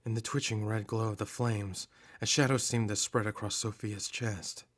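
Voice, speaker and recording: A man narrating a scripted first-person horror story in a measured, dramatic manner. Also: on the low side, steady tone. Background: prominent atmospheric music.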